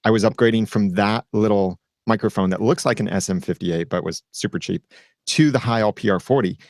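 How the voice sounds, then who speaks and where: A man's voice: fairly low pitch, fairly quick, a little mellow, no echo, clean studio sound. A man speaking as a guest in a casual roundtable chat, explaining at length with a self-deprecating streak. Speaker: podcast guest.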